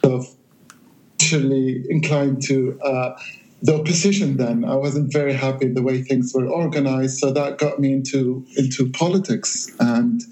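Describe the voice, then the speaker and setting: Hesitant Lebanese-born man, measured answers. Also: medium pitch, steady tone, a little mellow, rough recording. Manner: hesitant, measured, many restarts. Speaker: academic guest. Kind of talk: remote interview, thoughtful answers. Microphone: remote line.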